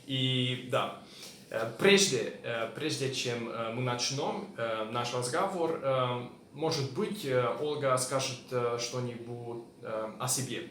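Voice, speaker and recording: Man, non-native speaker, halting and filler-heavy, roomy echo, light background hiss. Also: mid-range voice, a bit bright. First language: not Russian. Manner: hesitant, many fillers between words. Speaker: host, language learner. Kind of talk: casual interview.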